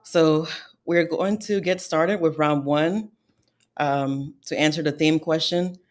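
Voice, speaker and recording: A woman hosting a virtual panel discussion over a video call, posing questions in a measured way with some "um"s. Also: low-pitched, fairly even intonation. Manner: measured, fills pauses with "um". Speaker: host and moderator.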